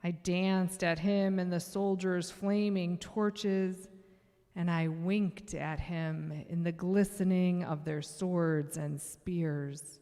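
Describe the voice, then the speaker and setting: A woman performing a measured, solemn church reading as Fear, a menacing and boastful tormentor. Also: lowish voice, steady tone, mellow. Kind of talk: dramatic church reading, monologue. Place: large church sanctuary. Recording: long reverberant church hall echo.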